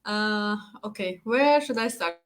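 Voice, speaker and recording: A woman's voice: medium pitch, measured pace. A woman from Syria with a Syrian Arabic accent, a life coach telling her story in an interview over a video call, hesitant with many fillers and restarts.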